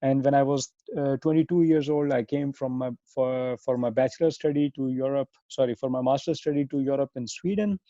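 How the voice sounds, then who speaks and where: A man in his thirties with a Pakistani accent, a podcast guest answering in a halting, self-correcting way over a remote call. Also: mid-range voice, warm tone, no echo. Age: 30s. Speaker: podcast guest, software engineer. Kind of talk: conversational interview answers. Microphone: remote internet or phone call. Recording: dull lo-fi call audio.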